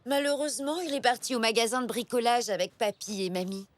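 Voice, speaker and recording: A woman playing a gentle, friendly mother zebra in a children's cartoon, with a soothing, kindly delivery. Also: on the high side, quick pace, lightly acted.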